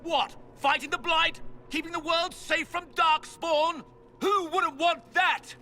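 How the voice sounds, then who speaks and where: An actor playing a haughty, zealous scheming magister in a video game, with a theatrical, emphatic delivery. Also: male voice, very high voice, fairly even intonation, slow, bright, forceful tone, a little hiss or hum, exaggerated voice. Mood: mocking, indignant. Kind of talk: dramatic video game acting.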